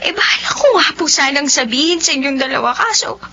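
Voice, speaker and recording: An actress playing a gentle young niece who has been wronged, pleading and tearful. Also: female voice, high-pitched, expressive, fast talker. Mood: hurt, quiet. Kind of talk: radio-drama acting. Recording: muffled lo-fi recording, faint hum.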